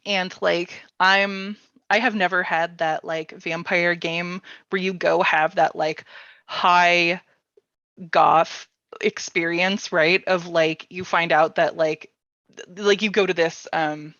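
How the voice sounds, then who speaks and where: A woman's voice: on the low side, steady tone, slow, a bit bright, no room sound, dull audio. A woman hosting a casual workshop chat about tabletop gaming, talking informally and thinking aloud as she goes. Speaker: workshop host taking chat questions.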